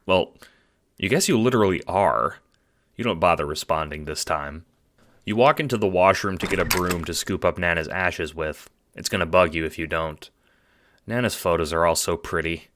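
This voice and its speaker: Male narrator, audio drama: low, bright and forceful, wry dramatic storyteller. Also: normal speed. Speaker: narrator and producer. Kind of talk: scripted second-person audio drama narration.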